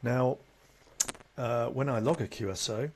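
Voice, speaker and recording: A man presenting an unscripted, conversational software tutorial, explaining things step by step as an instructor. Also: mid-range voice, measured pace. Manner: instructional, conversational, with tag questions. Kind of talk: unscripted screen-recording tutorial. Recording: noticeable background noise.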